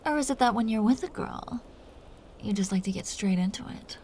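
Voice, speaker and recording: A woman playing a teasing, seductive, dominant vampire captor in an intimate roleplay audio drama, talking in breathy half-whispers. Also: medium pitch, a little fast, darkish tone, a little hiss or hum, close to an everyday voice. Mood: flirtatious.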